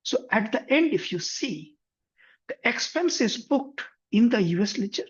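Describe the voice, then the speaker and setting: A man guides viewers through a software tutorial in a husky, slightly breathy voice. He moves step by step and rephrases as he goes. The recording sounds dull and lo-fi. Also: very high-pitched, sing-song, a little slow. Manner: methodical, step-by-step, frequent self-corrections. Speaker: software instructor. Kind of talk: screen-share tutorial explanation.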